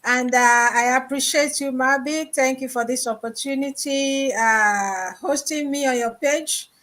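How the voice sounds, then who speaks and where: A woman's voice: high-pitched, measured pace, fairly bright tone. A woman from Nigeria introducing herself politely as a panelist. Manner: polite and grateful.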